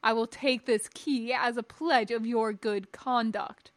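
An imperious, scornful Jedi master voiced by a woman for a storybook reading. He speaks slowly and formally, pronouncing each judgment as a stern lecture. The sound is close and dry. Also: on the high side, fairly even intonation, no echo, lightly acted. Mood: haughty, lecturing. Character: tall imperious old Jedi master, scornful, pompous man.

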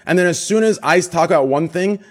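Man, streamer, passionate, excitable, emphatic, stumbling restarts, somewhat high voice. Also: fast talker. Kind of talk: heated group discussion.

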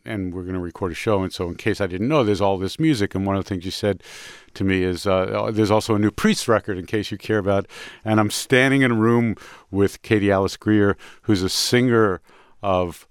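Reflective older-sounding man, low voice. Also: clear, resonant tone, no room sound, clean studio sound. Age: middle-aged or older. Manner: reflective, halting phrasing. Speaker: music show host. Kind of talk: casual personal storytelling.

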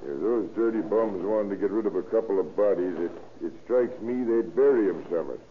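Man playing folksy country witness, low voice, insistent, plain-spoken, vintage radio drama, tinny sound. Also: fairly quick, natural voice. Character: folksy, suspicious rural neighbor man helping the lawmen. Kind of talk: 1930s radio crime drama acting. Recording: tinny old radio recording, faint noise.

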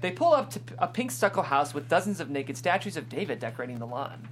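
A woman's voice: low-pitched, sing-song, fast talker, faint music behind. A woman reading stage directions as narrator at a live script table read.

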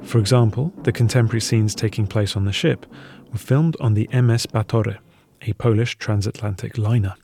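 A man's voice: on the low side, fairly quick, creaky, background noise. A man reading scripted documentary narration as the narrator.

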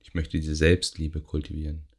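Male meditation guide: low, even pitch, soft husky tone, gentle phrasing with pauses. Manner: gentle, unhurried, with long pauses between short phrases. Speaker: yoga nidra meditation guide. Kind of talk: guided meditation, soothing relaxation instruction.

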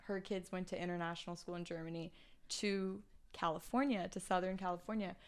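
A woman's voice: fairly low pitch, steady tone, a little fast, clear, resonant tone. A young woman from China, a guest on a casual chat podcast, who talks in a chatty way, giggling often and filling gaps with ums.

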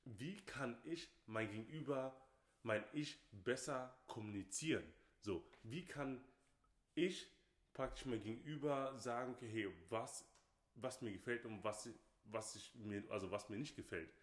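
A man's voice: medium pitch, a little slow. A man from Nigeria, a podcast co-host talking casually and emphatically, often repeating himself. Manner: emphatic, repeats phrases, often says "okay, hey". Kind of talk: casual opinionated chat. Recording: roomy echo.

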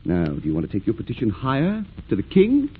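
A man acting a menacing, brusque army captain with forceful, threatening delivery in a 1940s radio drama. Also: medium pitch, huge pitch swings, quick pace. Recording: muffled, noisy vintage radio drama recording.

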